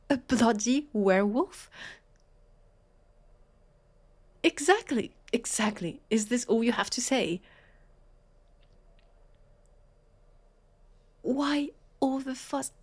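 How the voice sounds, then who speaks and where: A woman with a French accent playing a brusque, sarcastic vampire detective in a scripted audio roleplay, with halting, flustered delivery. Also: on the high side. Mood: outraged.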